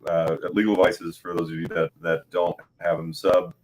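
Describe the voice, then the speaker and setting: Man, very deep voice, flat delivery, calm, plain, livestream guest. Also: normal speed. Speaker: guest, relative of the defendant. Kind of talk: casual livestream chat.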